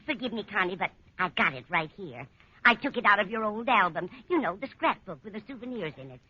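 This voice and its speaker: A woman playing a kindly, absent-minded older landlady in a 1940s radio comedy, with a husky voice and chatty, motherly manner. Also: medium pitch, sing-song, a little fast. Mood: fond, chatty. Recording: dull 1940s radio recording.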